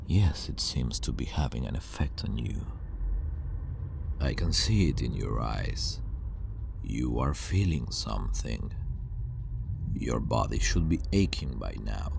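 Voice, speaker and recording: A man playing a calm, obsessive scientist villain with superpowers, soothing yet menacing, speaking in a measured, deliberate ASMR roleplay monologue. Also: rumbling low, gravelly, background noise, full character voice. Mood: intent, fascinated. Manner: measured, deliberate, pausing, reassuring. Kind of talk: ASMR roleplay, intimate scripted monologue to the listener.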